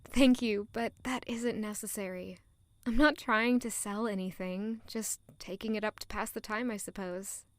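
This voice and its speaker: An actress playing a playful, observant young noblewoman in an audio drama, with a soft, expressive delivery. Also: medium pitch, normal speed, dry recording, natural voice. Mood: playful, candid. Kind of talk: audio drama dialogue and first-person narration.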